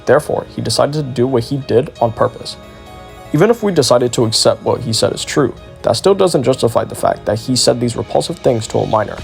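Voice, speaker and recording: A man reads a scripted exposé as a video narrator. He moves quickly through his sentences with a creaky, fried edge to his voice, and soft music plays underneath. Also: medium pitch, animated.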